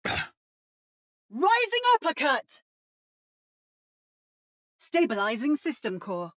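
High, slow-spoken female game robot. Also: huge pitch swings, a bit bright, full character voice. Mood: battle-ready. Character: adaptive female robot mimicking other fighters' battle cries. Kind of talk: video game character voice lines.

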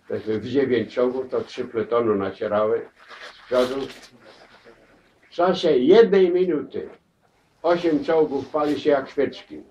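An elderly man recalling his wartime memories, halting, with many restarts and repeated words, in a crowded living room. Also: mid-range voice, darkish tone, a little hiss or hum. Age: older. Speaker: war veteran interviewee. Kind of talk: spoken war memories. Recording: distant, dull camera audio.

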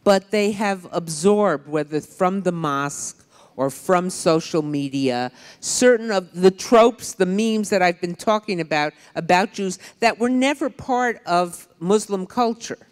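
A woman's voice: on the low side, animated, a little slow, clean tone. Older woman historian in a reverberant hall. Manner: chatty, digressive, wry asides. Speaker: author and historian on a panel. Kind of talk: public panel discussion. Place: large lecture hall on stage. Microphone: handheld microphone.

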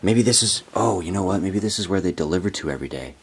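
A young man hosting a casual exploring vlog, chatty and excitable as he thinks aloud inside an abandoned building. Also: sits low, fast, a little hiss or hum. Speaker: urban explorer host. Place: abandoned newspaper building indoors.

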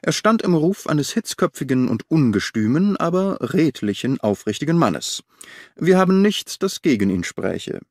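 A man reading the part of a well-informed government official giving a measured briefing in an audiobook. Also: fairly high pitch, big pitch swings, darkish tone, dry recording, clean studio sound.

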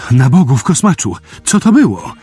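A man's voice: medium pitch, huge pitch swings, moderate pace, dark tone, husky, soft background music, voice pushed up. A man voicing a frightened, pleading prince in a fantasy audiobook, breathless and stammering. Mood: shaken.

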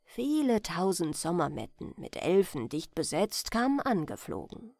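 A woman reading a bedtime fairy tale as scripted audiobook narration, in an expressive, deliberate storytelling manner. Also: on the low side, gravelly, dry recording, studio quality. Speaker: audiobook reader.

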